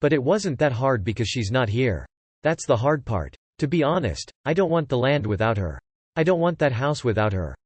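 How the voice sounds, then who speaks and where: A man delivers scripted celebrity news narration. His pitch is average for a man. The recording is dry, with hardly any room sound. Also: big pitch swings, moderate pace, slightly rough, no room sound. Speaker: narrator of entertainment video.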